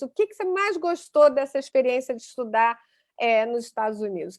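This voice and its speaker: A woman's voice: sits high, dry recording, studio quality. A woman moderating an online panel, chatty and peppering questions with tag questions.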